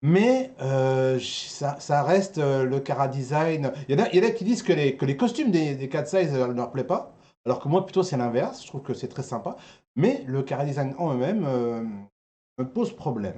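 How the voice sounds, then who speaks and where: A man's voice: mid-range voice, expressive, normal speed, dark tone. A man hosting a casual panel chat about geek culture news. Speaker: host.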